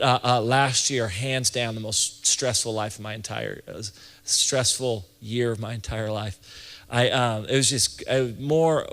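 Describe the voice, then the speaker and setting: A man around forty giving an informal church leadership talk, conversational and halting, often restarting and repeating his phrases. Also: mid-range voice, gravelly, a bit bright. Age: late 30s to early 40s. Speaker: ministry leader and church planting teacher. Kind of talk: informal sermon-style teaching talk to an audience. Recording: reverberant hall sound.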